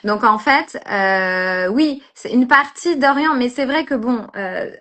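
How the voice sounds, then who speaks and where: A woman's voice: fairly high pitch, expressive, slow pace, fairly bright tone. A woman from France, a street photographer, chatting as an interview guest and talking hesitantly with many fillers and restarts. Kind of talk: casual interview chat.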